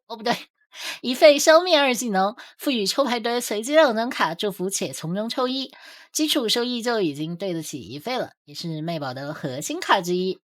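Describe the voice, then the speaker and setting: A woman's voice: mid-range voice, a bit bright. A woman reading scripted commentary for a game guide video as its host.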